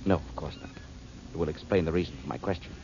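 A cold, deep-voiced would-be killer. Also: male voice. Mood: coldly calm. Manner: calm, formal and measured. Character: cold, calculating man planning a murder. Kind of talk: 1940s radio acting. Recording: muffled old radio broadcast, faint hiss.

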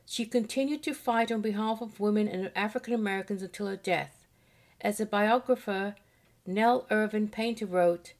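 A woman hosting a weekly history lesson, reading a biography aloud at a measured pace. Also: medium pitch, a little mellow.

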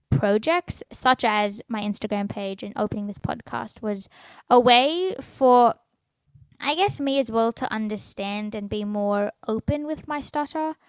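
Soft-spoken teenage girl, halting speech. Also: a little high, clean tone, no echo. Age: teens. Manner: halting, with stutters, fillers and restarts; soft, trails off quietly at phrase ends. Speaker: podcast host. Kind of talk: solo personal podcast.

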